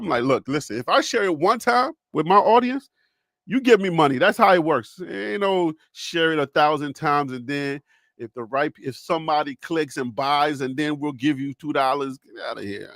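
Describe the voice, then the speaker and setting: A man's voice: on the high side, a bit bright, no room sound, studio quality. A man with a Liberian accent hosting a solo sports livestream, joking and repeating himself as he talks to his chat. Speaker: sports show host.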